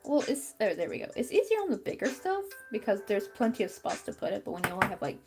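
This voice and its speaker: Young woman crocheting and chatting, kinda fry-ish voice, music playing under her. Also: medium pitch, big pitch swings, fast talker. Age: young adult. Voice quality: young-sounding voice. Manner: chatty, talks to herself while working, mutters frustrations. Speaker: crochet channel host. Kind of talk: casual chat while crafting.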